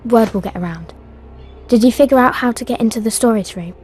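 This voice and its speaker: A woman's voice: fairly high pitch, brisk, darkish tone, faint music behind, close to an everyday voice. An actress playing a brave young girl who talks in a decisive, conspiratorial way in a video game cutscene. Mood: determined.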